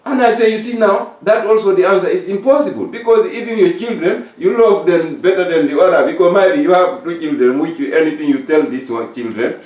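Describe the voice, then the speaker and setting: A man's voice: high voice, a little fast, hardly any pauses, a little mellow, distant mic. An older man with a West African English accent, talking earnestly in a casual group discussion and stumbling over repeated fillers. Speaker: discussion participant. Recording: echoey room, speaking from off the mic.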